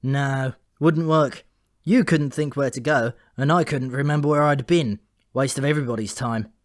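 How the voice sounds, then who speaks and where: A man's voice: medium pitch, normal speed, no echo, studio quality, close to an everyday voice. A man voicing an earnest, slightly wounded male raven with a defensive, chatty manner, in a comic fantasy audiobook. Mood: alert.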